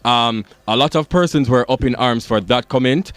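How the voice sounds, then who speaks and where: A man with a mid-range voice hosts a radio interview and asks his questions in a measured, probing way. The studio sound is dry and close. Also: mid-range voice, fairly bright tone, smooth, no echo. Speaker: radio host.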